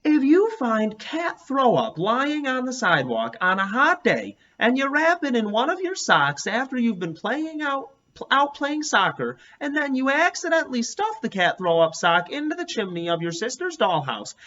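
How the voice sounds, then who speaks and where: A man voicing an earnest, excitable little schoolboy in a children's storybook read-aloud. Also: very high voice, moderate pace, hardly any pauses, recorded from a distance, highs cut off, pitched above the performer's own voice, exaggerated voice.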